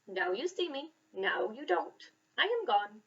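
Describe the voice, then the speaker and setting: A woman's voice: on the high side, sing-song, a little slow, fairly bright tone, slightly rough, muffled recording, heavily acted. A woman voicing a small, polite, apologetic but persistent male mouse in a children's storybook. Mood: meek apology. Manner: courteous, meek.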